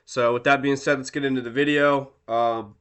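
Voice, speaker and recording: Congested male vlogger, casual and rambling, smooth resonant tone under the stuffiness, lots of "um" and "right?". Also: medium pitch, steady tone, moderate pace, fairly bright tone. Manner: rambling, filler-heavy, self-deprecating. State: congested from a cold. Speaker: crypto channel host. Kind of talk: casual talking-to-camera market commentary.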